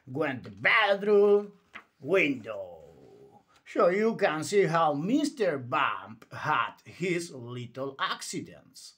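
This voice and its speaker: A man's voice: fairly high pitch, sing-song, slow pace, fairly bright tone. A man reading a children's story aloud in a theatrical, emphatic bedtime read-aloud style.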